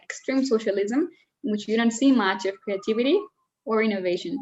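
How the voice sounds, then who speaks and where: Woman from colombia chatting as a guest, lots of "like" and restarts, kinda dull lo-fi audio. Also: medium pitch. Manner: earnest, filler-heavy with frequent "like" and restarts. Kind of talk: casual interview chat.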